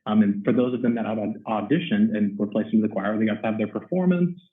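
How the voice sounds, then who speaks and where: A man's voice: fairly low pitch, fast. A young man from Texas, a first-year choir teacher, chatting in an interview with lots of ums and restarts. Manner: rambling with many ums and restarts. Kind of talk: casual interview chat. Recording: echoey, distant, phone-like audio.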